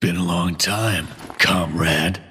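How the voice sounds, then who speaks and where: A deep-voiced taunting commando villain. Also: male voice, steady tone, takes it slowly, a little hiss or hum, full character voice. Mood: mocking. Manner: taunting, threatening. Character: scarred hulking male commando, menacing, taunting. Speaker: mercenary villain. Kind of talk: video game cutscene acting. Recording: game cutscene with loud music.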